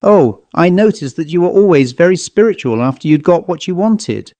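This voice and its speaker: A man's voice: high-pitched, lively intonation, normal speed, mellow, no echo, pitched above the performer's own voice. A man voicing a sneering, blunt married lover in a read-aloud ghost story.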